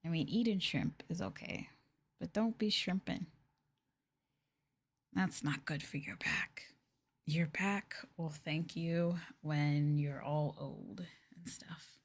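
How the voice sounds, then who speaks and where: A woman hosting an ASMR livestream, chatting casually and gently in a soft, breathy voice that often drops into a whisper, close to the mic. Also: deep voice, slow. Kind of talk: soft-spoken ASMR casual chat with viewers. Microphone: close ASMR microphone.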